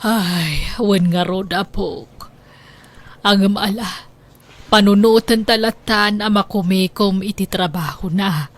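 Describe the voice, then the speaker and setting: A woman acting an elderly, devout grandmother in a radio drama, gentle and sighing as she consoles and worries. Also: mid-range voice, slow pace. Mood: wistful, consoling.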